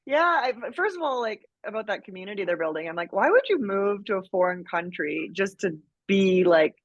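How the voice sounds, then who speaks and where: A bubbly woman in her thirties from Mexico chats as a podcast guest and laughs easily. Her voice sits at a middle pitch, and the audio is slightly muffled and dry. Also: expressive, brisk, dry recording. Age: 30s. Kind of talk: casual interview chat.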